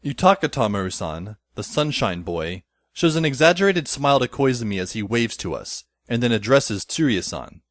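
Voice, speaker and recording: Man as a wry, animated schoolboy narrator. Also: mid-range voice, normal speed, few pauses, no room sound, lightly acted. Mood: wry, musing. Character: wry high school boy narrating his story. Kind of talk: first-person scripted narration, audio drama.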